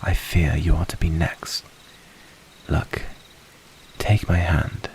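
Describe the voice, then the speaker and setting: An extremely deep male werewolf, acted by a man, wary but protective of humans. He murmurs earnest warnings in a creaky, gravelly ASMR roleplay voice, with breathy pauses. Crickets chirp behind him over a faint hiss. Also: level tone, rough recording. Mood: pleading concern.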